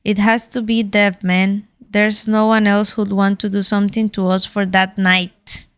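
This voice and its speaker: A woman reading the lines of a worried young man in a novel, talking low and urgent. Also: flat delivery, measured pace, a bit bright, clean tone, dry recording. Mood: uneasy.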